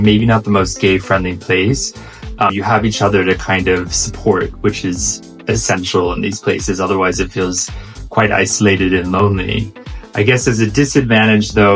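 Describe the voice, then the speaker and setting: American guy in his 30s, lowish voice, smooth easy chat, little music under it. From United States. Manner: fluent, easygoing, relaxed storytelling. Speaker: co-host. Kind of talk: casual chat.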